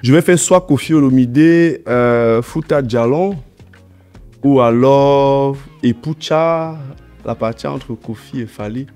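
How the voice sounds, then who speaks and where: A man's voice: mid-range voice, slow, darkish tone, smooth. Man with a slight cold, music behind. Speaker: DJ, interview guest. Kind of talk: conversational interview answers, giving advice.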